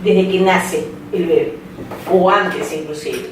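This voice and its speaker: This voice belongs to a woman, a mother on an education panel, who speaks in a heartfelt, reflective way. Her pitch sits in a middle range. She sounds distant, in a very echoey room with faint noise behind her. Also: steady tone, slow. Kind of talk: panel discussion. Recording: roomy studio heard from a distance.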